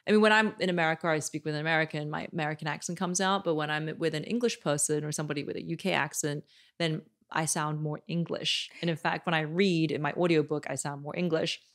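A woman in her thirties, an etiquette expert guest, talking in a measured, explanatory way and often checking in with "right?", recorded on a boom mic in a studio room. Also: fairly even intonation, a bit bright. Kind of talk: interview conversation. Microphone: desk microphone on boom arm.

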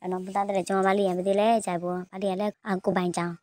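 Even-toned woman speaking haltingly. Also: mid-range voice.

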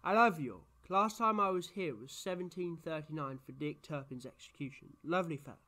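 A man's voice: a little high, expressive, brisk, sharp tone. A man playing an eccentric, playful time-travelling alien in a fan audio drama, rambling and boastful with whimsy. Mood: cheerful, rambling. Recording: noisy track with background ambience.

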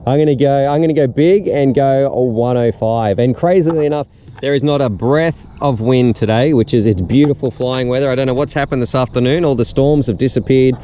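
An upbeat host fires off quick, casual questions in a mellow voice. The sound is a little dull and lo-fi, with faint outdoor noise underneath. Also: male voice, medium pitch. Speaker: channel host. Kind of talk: casual interview chat.

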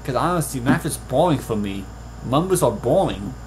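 A man's voice: mid-range voice, animated, normal speed, a little mellow, a little hiss or hum, low-quality recording. A young man with a Puerto Rican accent talking to a webcam in his bedroom, earnest and rambling, often restarting his sentences. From Puerto Rico. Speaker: author. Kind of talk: casual vlog monologue. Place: small bedroom. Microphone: webcam at desk.

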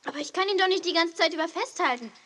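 Curious young girl, high voice, always asking stuff, noisy old cassette play. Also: animated, racing. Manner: eager, questioning. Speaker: voice actor in a children's audio play. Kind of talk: 1980s children's radio play acting.

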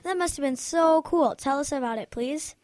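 A woman's voice: high voice, moderate pace, darkish tone, no echo, lightly acted. A woman voicing an eager, curious schoolboy grandson in a radio drama.

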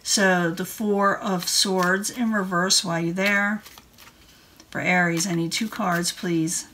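A woman's voice: on the low side, steady tone, slow pace, sharp tone, a little hiss or hum. A woman giving a casual tarot card reading in an informal, rambling way. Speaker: tarot reader. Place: indoor room at a table.

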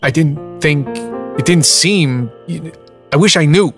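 Cocky, high-pitched young drifter. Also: male voice, slow, music in the background. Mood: apologetic, halting. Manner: folksy, colloquial, wisecracking. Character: cocky, streetwise young drifter on the run, wisecracking. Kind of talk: dramatized audiobook acting.

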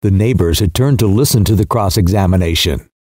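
A man narrating a literary short story as an audiobook reader in a measured storytelling manner. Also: deep voice, a little mellow, no room sound, clean studio sound.

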